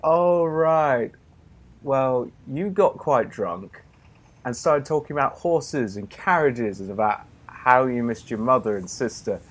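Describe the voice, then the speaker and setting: A man voices a cheeky, foul-mouthed coworker in an audio drama, mocking and joking. His voice sits at an ordinary middle pitch. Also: expressive, slow. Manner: mocking, swears casually. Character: cheeky male colleague, crude and joking.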